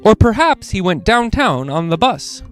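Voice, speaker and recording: Guy reading kids books out loud, highish voice goes up and down a lot, bit of music behind. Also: moderate pace. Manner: animated, speaks directly to young listeners. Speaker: host of children's read-along show. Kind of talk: reading picture books aloud to children.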